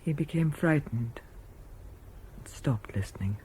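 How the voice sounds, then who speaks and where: An actress playing a tender, reserved, dreamy mother in an intimate audio drama, speaking in a quiet, measured, deliberate way. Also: low-pitched, lively intonation, a little hiss or hum, poor audio. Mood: tender, hushed.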